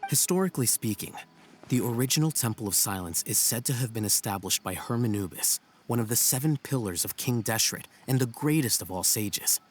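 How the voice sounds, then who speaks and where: An actor playing a composed, aloof scholar in a video game, speaking in a measured, formal and dryly analytical way. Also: male voice, mid-range voice, animated, slight background noise, close to an everyday voice. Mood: explanatory, dry. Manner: measured, dry, formal, matter-of-fact. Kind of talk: video game voice acting, expository dialogue. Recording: music behind the voice. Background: game music.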